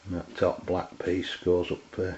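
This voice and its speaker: A man with an English accent and a very low voice talks through a hands-on review. His delivery is flat and unscripted, with plain wording and frequent hesitations. The sound is dull and lo-fi, as from a handheld camera's microphone. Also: slight background noise. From England. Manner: hesitant, halting, plain-spoken. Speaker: amateur radio reviewer. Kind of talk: unscripted product review demonstration.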